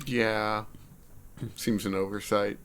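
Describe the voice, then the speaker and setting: A man's voice: lowish voice, little pitch movement, takes it slowly, a bit bright, smooth. A man co-hosting a podcast, chatting casually with dry, understated remarks. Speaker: podcast co-host. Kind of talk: casual chat, brief reactions.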